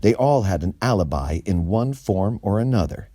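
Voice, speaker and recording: A man reading a children's book aloud as a storyteller in a small bookshop, in a slow, expressive way. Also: lowish voice, no echo, studio quality.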